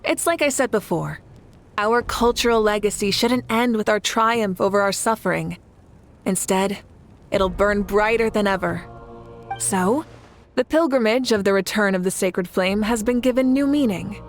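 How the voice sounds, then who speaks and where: A woman plays a composed, kindly warrior leader from a video game. Her medium-pitched voice is assured and measured, with eloquent phrasing. Faint music runs underneath. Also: close to an everyday voice. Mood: resolute. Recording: studio voice over background music.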